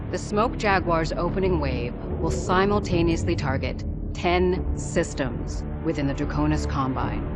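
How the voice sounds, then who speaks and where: A woman playing an imperious clan war leader in a game cutscene, giving orders with stern, declamatory authority. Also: low voice. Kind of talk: video game cutscene acting.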